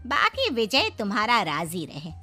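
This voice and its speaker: A woman's voice: fairly high pitch, wildly swooping pitch, a little slow, pushed and bright, soft background music, exaggerated voice. A woman voicing a talkative female relative in a cartoon, speaking in a reassuring, chatty way. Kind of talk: cartoon voice acting.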